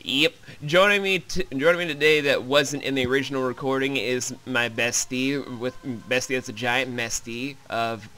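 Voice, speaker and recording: A man's voice: medium pitch, steady tone, moderate pace, hardly any pauses, bright, forceful tone, slight background noise. A man hosting a let's play, giving a casual, wry, rambling and sweary recap of a visual novel.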